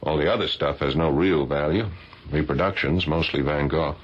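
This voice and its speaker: A man's voice: extremely low pitch, normal speed, husky. A man acting in a radio drama as a genial married art academic with a conversational, slightly fussy way of appraising things. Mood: thoughtful, appraising. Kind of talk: radio theater acting, naturalistic dialogue. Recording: muffled, dull old radio broadcast.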